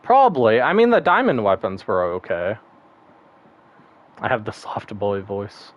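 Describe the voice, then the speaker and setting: A man's voice: lowish voice, wildly swooping pitch, measured pace, muffled recording, a little hiss or hum. A man streaming a game, chatting casually and rambling, laughing often and swearing now and then. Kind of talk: casual gaming stream chat.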